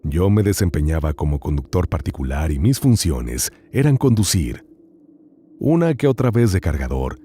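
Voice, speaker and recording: A man narrating horror stories as host, in a slow, hushed, dramatic reading with long pauses. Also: deep voice, slight background noise.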